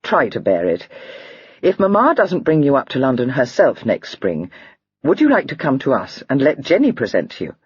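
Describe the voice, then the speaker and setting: A warm-hearted, teasing young gentleman who speaks to a girl with easy fondness, a touch lower than the narration. A woman reads him in her own breathy voice, dry and slightly muffled. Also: huge pitch swings, normal speed, no room sound, exaggerated voice. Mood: fond.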